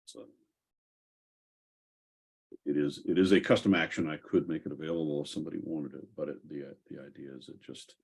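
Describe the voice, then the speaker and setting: A man with a very deep, somewhat rough and husky voice hosts a casual software call. He speaks haltingly, thinking aloud as he answers questions. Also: fairly even intonation. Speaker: host of a software community call. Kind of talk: casual Q&A and tech discussion.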